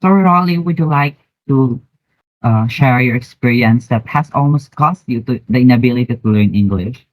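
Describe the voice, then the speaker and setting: A man with a Filipino accent hosts an educational podcast in a mid-pitched voice. His delivery is deliberate and formal, partly scripted, and the recording is close and dry. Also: no echo, low-quality recording. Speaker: podcast host.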